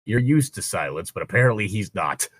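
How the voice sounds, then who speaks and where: Joking man with lively pitch, dry sound. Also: a little fast, husky, no echo. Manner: joking, digressive. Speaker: co-reader and commentator. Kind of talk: casual banter while reading fan fiction aloud.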